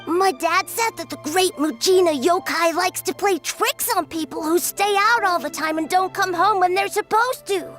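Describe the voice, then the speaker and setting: A stubborn little village kid. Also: female voice, very high-pitched, moderate pace, hardly any pauses, a bit bright, faint music behind. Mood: telling. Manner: insistent, childlike.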